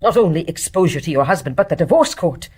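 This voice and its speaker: Low, husky, fervent embittered nurse. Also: female voice, lively intonation, quick pace, darkish tone. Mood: scornful. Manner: fervent, scornful, emphatic delivery. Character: embittered, devoutly loving nurse, accusing and anguished. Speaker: actor in a radio play. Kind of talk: intense stage-play dramatic acting.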